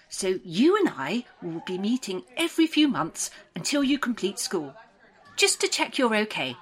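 An actress playing a probing official in a dystopian audio drama, reassuring, procedural and a little patronising. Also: female voice, mid-range voice, slightly rough. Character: soothing, probing woman official of a dystopian programme. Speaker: interviewer. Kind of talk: audio drama dialogue, clinical interview.

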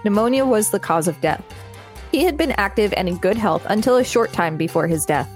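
A woman with a medium-pitched voice co-hosts a podcast. She reads from an encyclopedia article and slips into casual conversation, with faint music underneath. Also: expressive, brisk. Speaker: podcast co-host. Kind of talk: reading an encyclopedia article aloud, with casual banter.

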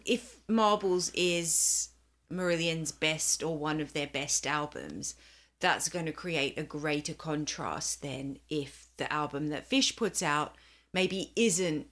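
A woman chats casually as a podcast co-host. Her voice is a little low and she speaks very slowly, offering brief questions and reactions. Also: expressive, a bit bright. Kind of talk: casual chat.

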